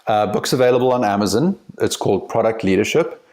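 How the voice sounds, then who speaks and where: A man's voice: lowish voice, expressive, fast, darkish tone. A South African man being interviewed as a guest, talking candidly and emphatically with easy laughs and repeated words as he thinks. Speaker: guest, company CEO and author. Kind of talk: casual interview chat.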